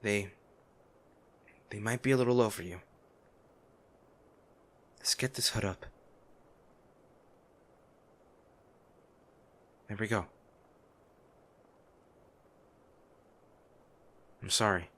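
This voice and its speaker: A man playing a noble lady's gruff, protective bodyguard, blunt and emotional, in a dramatic fantasy audio roleplay. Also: on the low side, normal speed, fairly bright tone, close to an everyday voice. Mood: alarmed, gentle. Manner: exasperated, emotional, blunt, with sighs. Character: gruff, protective male bodyguard of a noble lady, scolding yet anxious.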